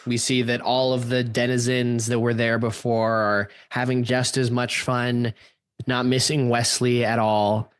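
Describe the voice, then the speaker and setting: A man narrates an improvised radio play in a medium-pitched voice. He sets each scene at a slow pace, recorded dry with no room sound. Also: little pitch movement, clear, resonant tone, dry recording.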